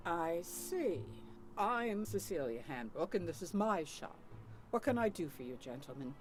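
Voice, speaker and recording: A woman's voice: lowish voice, big pitch swings, unhurried, soft background music. A woman playing an unflappable, proper older widowed shopkeeper in an adventure game, courteous and formal but guarded. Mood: guarded, firm. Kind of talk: voiced adventure game dialogue.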